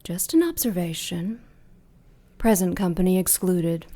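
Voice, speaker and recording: A woman's voice: on the low side, big pitch swings, a little slow, dark tone. A woman playing an ancient demon queen in an audio drama, imperious, disdainful and threatening. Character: ancient demon queen, haughty, menacing mother of monsters.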